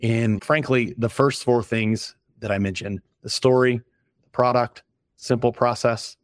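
Slightly hoarse man talking frankly. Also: mid-range voice, steady tone, a little slow, dry recording, clean studio sound. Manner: conversational, frank, lists points with filler "like". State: a little hoarse. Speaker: company founder, podcast guest. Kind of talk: casual business podcast talk.

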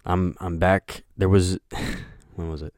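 A young man hosting a solo podcast, talking in a flat, monotone voice that rambles, with lots of hesitation and filler words. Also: deep voice, takes it slowly, dry recording, studio quality. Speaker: podcast host. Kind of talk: casual solo podcast chat.

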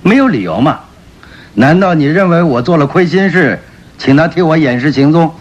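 An actor playing a proud middle-aged French marquis and doting father who is easily offended, in an expressive, theatrical radio drama manner. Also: fairly high pitch, moderate pace, dull audio. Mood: indignant, angry. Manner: expressive, theatrical delivery with exclamations. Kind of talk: Mandarin radio drama acting.

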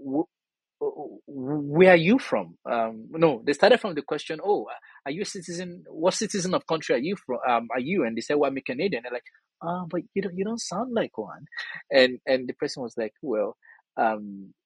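A man's voice: a little high, very animated, normal speed, husky, no room sound, low-quality recording. A man with a Nigerian accent giving earnest, emphatic, conversational answers as an interview guest, telling anecdotes and acting out quoted dialogue. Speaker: interview guest, theatre artist and scholar.

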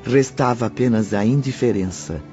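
A man's voice: mid-range voice, moderate pace, darkish tone. Solemn Brazilian male narrator over music. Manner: solemn, literary. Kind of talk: dramatic scripted narration for radio. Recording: muffled radio production, music underneath.

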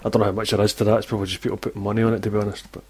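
A Scottish man hosts a football chat podcast in a relaxed, talkative way and keeps putting questions to his guests. His voice sits somewhat low. He sounds unhurried and conversational. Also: a little hiss or hum. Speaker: podcast host. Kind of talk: casual chat.

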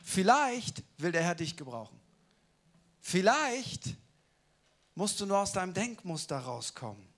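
A man's voice: high-pitched, sing-song, unhurried, pauses often, a bit bright. A man giving a casual, colloquial sermon who keeps asking the listeners rhetorical questions. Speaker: preacher.

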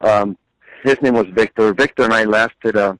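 A middle-aged man from Chile recounts his working life in a relaxed, conversational way. He often stops on fillers and repeats words. His voice is low and sounds dry and close. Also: moderate pace, dry recording, poor audio. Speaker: guest, business owner. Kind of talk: conversational storytelling.

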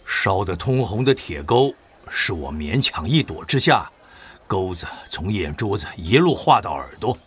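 An actor playing a weary, stoic wartime official who has survived torture, in a Mandarin audio drama. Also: male voice, sits low, sing-song, measured pace, breathy edge, heavily acted. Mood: grim, bitter.

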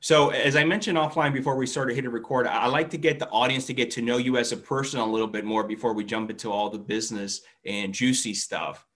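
A man hosts an interview podcast with a medium-pitched voice. He speaks in a conversational presenting style, introducing things and putting questions to a guest. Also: fairly even intonation, fast talker, hardly any pauses, fairly bright tone. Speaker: podcast host. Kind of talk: conversational interview show hosting.

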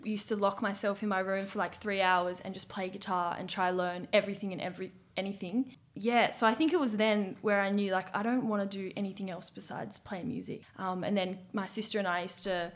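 A woman's voice: mid-range voice, steady tone, fairly quick, hardly any pauses. Chatty young Australian woman. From Western Australia. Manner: chatty, giggly, trails off with fillers. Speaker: guest, band musician. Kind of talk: casual interview chat.

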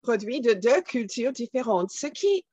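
A woman's voice: a little high, big pitch swings, unhurried, mellow, slightly rough, dry recording, lo-fi sound. A woman with a foreign accent in French, explaining things conversationally as an interview guest and instructor.

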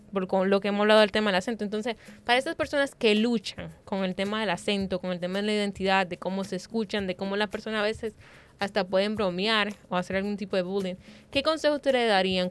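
A woman's voice: mid-range voice, smooth. A woman moderating a panel, framing questions for the other speakers.

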